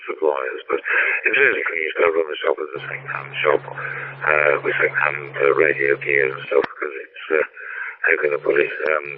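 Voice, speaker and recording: A man's voice: rumbling low, fairly even intonation, brisk, slight background noise. A British man chatting casually and at rambling length as an amateur radio operator. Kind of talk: casual chat over ham radio. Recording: tinny narrowband radio, distant-sounding.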